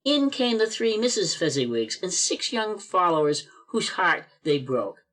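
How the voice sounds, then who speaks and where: A man narrating an amateur radio play with expressive storytelling, read over a video call. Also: high voice, measured pace.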